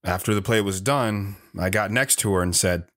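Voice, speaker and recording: A man's voice: on the low side, normal speed, a bit bright, slight background noise, close to an everyday voice. A man playing a plain-spoken wanderer telling his life story in an audio drama. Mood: reminiscing.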